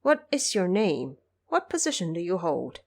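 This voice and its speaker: A woman's voice: fairly low pitch, very animated, normal speed, voice pushed down. A regal emperor, acted by a woman in an audio drama. He speaks with formal dignity, inquiring and gracious toward those who serve him. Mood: questioning. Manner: formal, regal questioning and thanks.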